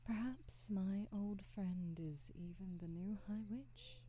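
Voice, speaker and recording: A woman playing a kindly, wistful, motherly witch in an ASMR roleplay, soft, breathy and often whispering, with tender, formal phrasing. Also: medium pitch. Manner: slow, tender, old-fashioned formal phrasing.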